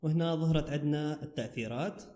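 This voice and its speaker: Mellow Iraqi man in an echoey room. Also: on the high side, fast. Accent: Iraqi Arabic. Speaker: tutorial host. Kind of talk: casual app tutorial narration. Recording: roomy, echoing voice recording.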